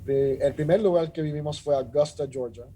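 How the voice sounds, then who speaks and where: A middle-aged man from Rico, a judge talking earnestly in a measured way with many restarts and filler sounds. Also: on the high side, dark tone, a little hiss or hum. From Puerto Rico. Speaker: judge, interview guest. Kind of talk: casual interview talk.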